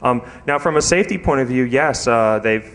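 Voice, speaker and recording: A man's voice: medium pitch, moderate pace, a bit bright. Man giving a hesitant, informal tech talk. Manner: hesitant, rambling, peppered with um and you know. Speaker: conference speaker, security researcher. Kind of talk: informal technical conference presentation. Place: live talk in a conference room.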